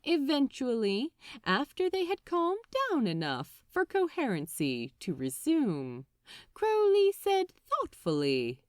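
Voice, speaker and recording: A woman narrates fiction in a theatrical storybook style. Her pitch swings dramatically and she phrases slowly and deliberately. The recording is very dry, with hardly any room sound. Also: huge pitch swings, dry recording.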